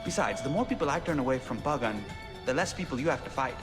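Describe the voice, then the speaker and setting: An actor playing an excitable young pirate-radio DJ in a video game, chattering fast with slang. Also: male voice, mid-range voice, lightly acted. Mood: excited. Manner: fast, animated, self-promoting chatter with slang. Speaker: radio host character. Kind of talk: video game cutscene acting. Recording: game audio with music and hall reverb.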